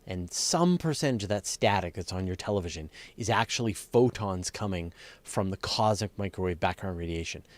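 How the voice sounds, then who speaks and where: Deep-voiced man explaining science, dry close sound. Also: a little mellow, no room sound. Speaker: host of a live space question-and-answer show. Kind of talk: casual off-the-cuff explanation of science questions.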